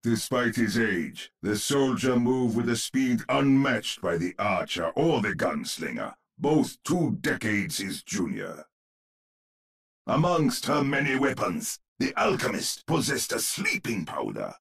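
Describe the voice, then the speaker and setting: An actor playing a grim narrator of gothic horror tales in a video game, with a gravelly, husky voice and grandiose, suspenseful delivery. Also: male voice, medium pitch, sing-song, a little slow, a bit bright, heavily acted. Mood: tense, suspenseful. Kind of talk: theatrical gothic horror storytelling.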